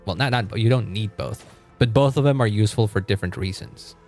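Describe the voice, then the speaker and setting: Low-pitched man streaming a game. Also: a little fast, darkish tone, faint music behind. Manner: thinking aloud, informal, swears casually. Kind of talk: casual live-stream chat while gaming.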